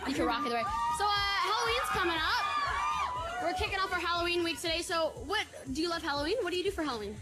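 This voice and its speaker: A woman hosting a live TV show, bubbly and high-energy as she throws to the next segment. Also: huge pitch swings, quick pace. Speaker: TV host. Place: busy TV studio. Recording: loud music and crowd in studio.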